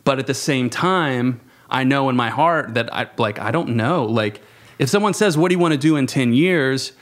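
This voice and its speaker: A man in his thirties speaks in a reflective, conversational way at a middling pitch. His phrasing is halting and thoughtful, and he often corrects himself as he goes. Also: lively intonation. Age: 30s. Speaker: youth ministry worker. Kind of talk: reflective panel discussion.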